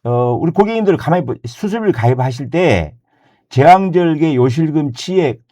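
A man's voice: a little high, expressive, normal speed, mellow. A man in his sixties, an insurance advisor explaining coverage in an emphatic sales talk, often repeating key points and correcting himself mid-word. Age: 60s.

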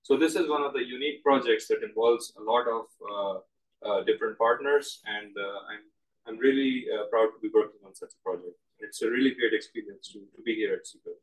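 A young man from Pakistan, a student researcher, explaining a project in a measured presentation style. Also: fairly low pitch, poor audio. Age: young adult. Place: large open indoor lab.

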